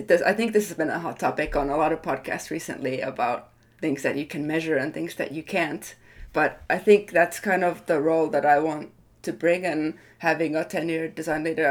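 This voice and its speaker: A woman speaking as a design leader in an interview, reflective and careful to qualify what she says. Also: sits low. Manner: thoughtful, hedging, self-qualifying.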